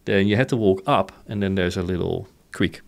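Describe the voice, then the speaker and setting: A man's voice: low-pitched, measured pace, creaky. A man from the Netherlands, chatting casually as an interview guest and explaining things in short, informal bursts that often end in a checking question. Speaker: interview guest, company founder.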